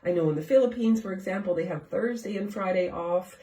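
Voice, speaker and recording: A congested, stuffed-up woman hosts a casual live stream from her home kitchen, chatting with viewers and firing questions at them. Her voice sits at a middling pitch and comes across from some distance. The room around her rings with a noticeable echo. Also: brisk, mellow, a little hiss or hum. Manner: conversational, keeps asking viewers questions. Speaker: host of a live nutrition show. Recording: echoey room, far from the mic.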